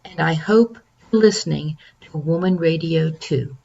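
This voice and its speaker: A woman from North Carolina reading a lively, upbeat station promo as a listener. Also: low-pitched, unhurried, gravelly, dull audio. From North Carolina, USA. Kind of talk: read promo.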